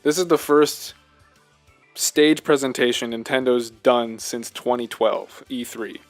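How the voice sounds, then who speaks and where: A man co-hosting a podcast, chatting casually about games in a halting, rambling way. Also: medium pitch, soft background music. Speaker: podcast co-host. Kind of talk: casual chat, video game discussion.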